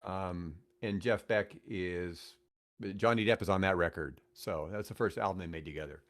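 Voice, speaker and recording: A man's voice: sits low, normal speed. A middle-aged American man telling stories in casual conversation as a podcast guest, rambling and enthusiastic. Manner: rambling, enthusiastic about music. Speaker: podcast guest, guitarist and radio host.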